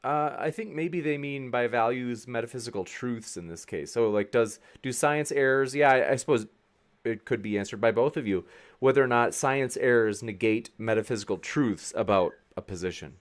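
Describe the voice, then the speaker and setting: A man's voice: mid-range voice, normal speed. A man hosting a debate, informally and in a friendly way moderating and reading out audience questions.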